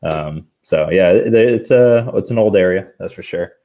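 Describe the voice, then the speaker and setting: A man's voice: sits low, normal speed, mellow. A man talking casually as a brewery guest on a video call, rambling with lots of fillers and restarts.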